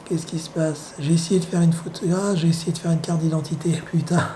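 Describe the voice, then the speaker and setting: A man with the flu talks at home in a loose, meandering monologue, his hoarse voice mellow and dark. He is wry and sardonic, and he pauses often with drawn-out hesitations. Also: fairly high pitch, steady tone, slight background noise, rough recording. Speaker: vlogger. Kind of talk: rambling casual monologue.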